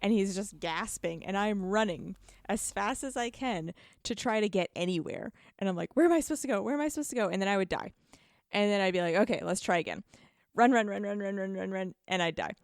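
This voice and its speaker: A woman telling gaming stories in informal, animated podcast chat, acting out moments with repeated phrases. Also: mid-range voice, a little fast, no room sound, studio quality. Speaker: podcast guest. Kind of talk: casual chat, animated storytelling about gaming.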